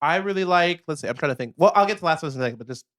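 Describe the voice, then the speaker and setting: Rambling, hesitant man, slightly high voice. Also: lively intonation, racing, fairly bright tone. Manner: rambling, hesitant, restarts a lot, laughs easily. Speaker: podcast co-host. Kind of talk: casual chat.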